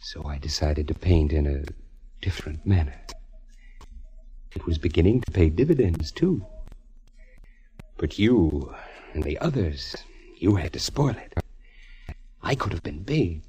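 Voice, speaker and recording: Very deep, husky, menacing radio villain. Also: male voice, sing-song, mellow, exaggerated voice, low-quality recording. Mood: bitter, grandiose. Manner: slow, deliberate, sinister politeness. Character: menacing, theatrical male killer, embittered failed artist. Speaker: radio drama actor. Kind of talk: 1940s radio acting. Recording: vintage 1940s radio recording.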